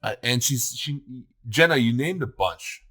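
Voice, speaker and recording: A man's voice: mid-range voice, animated, unhurried, fairly bright tone. A man co-hosting a video show in casual, opinionated chat, emphatic, repeating himself for effect and swearing freely.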